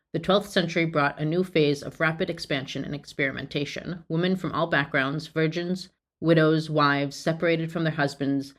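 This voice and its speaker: A woman with a low-pitched voice reads a prepared history lesson. She speaks earnestly and drops in small apologetic asides. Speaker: history video creator. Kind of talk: scripted educational narration.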